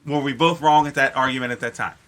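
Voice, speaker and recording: A man in casual podcast conversation, explaining things in a nerdy, animated way with some swearing. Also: medium pitch, fast talker. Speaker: podcast panelist. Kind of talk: casual chat.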